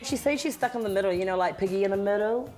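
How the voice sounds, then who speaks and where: A woman's voice: medium pitch, fast, a little mellow, soft background music, close to an everyday voice. A woman playing a grand, haughty island auntie hosting comedy banter in a theatrical, dry manner. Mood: matter-of-fact. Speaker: comic advice show host. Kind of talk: comedy talk show banter. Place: studio lounge set, indoors.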